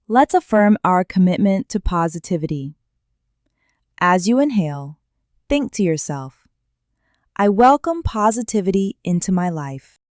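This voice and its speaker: A woman leading a guided meditation in a calm, gentle way, with slow soothing instructions and long pauses. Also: on the low side, no echo, clean studio sound.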